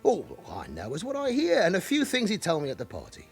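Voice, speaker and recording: An actor playing an evasive, chatty forty-year-old London club owner with an underworld past in a radio drama. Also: male voice, sits high, wildly swooping pitch, a little fast, gravelly, slightly rough, soft background music, exaggerated voice. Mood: pleading, protective.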